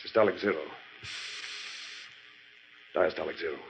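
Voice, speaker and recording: An actor playing a doubting, astonished surgical assistant with a hesitant, questioning manner in a 1940s radio horror play. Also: male voice, sits low, normal speed, vocal fry. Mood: nervous, focused. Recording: muffled, noisy 1940s radio recording.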